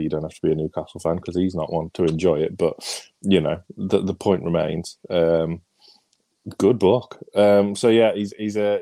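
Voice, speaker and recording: Hoarse deep-voiced man chatting. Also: warm tone, no echo. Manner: hesitant, many ums. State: hoarse from a cold. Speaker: podcast co-host. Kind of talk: casual football podcast chat.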